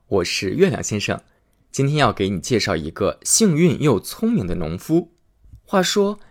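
A man hosting and reading a fairy tale aloud in an expressive storytelling manner. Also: medium pitch. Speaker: storyteller host.

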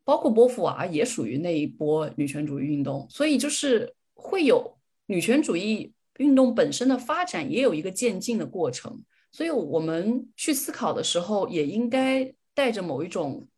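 A woman's voice: on the low side, a little mellow. A woman co-hosting a podcast, discussing things casually in an earnest, reflective way. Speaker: podcast co-host.

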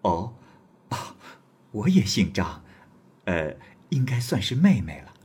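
Graceful young woman in an old tale, voiced by a man storyteller, soft-spoken and husky. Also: lowish voice, big pitch swings, slow pace, exaggerated voice. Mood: polite.

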